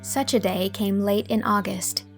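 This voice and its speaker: A woman's voice: mid-range voice, steady tone, a little slow, soft background music. A woman reading scripted literary narration as an audiobook narrator.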